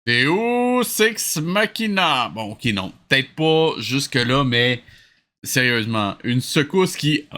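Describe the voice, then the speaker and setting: A man's voice: mid-range voice, very animated, slow, pushed and bright. A man with a Quebec French accent giving casual, exasperated, sarcastic commentary with some swearing. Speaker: commentator mocking an old cartoon. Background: cartoon soundtrack music.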